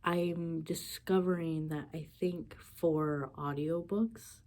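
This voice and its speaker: A young woman with a sore throat and a stuffy nose chats on casually. Her voice is deep and mellow. She laughs a lot between her sentences. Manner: chatty, laughs often.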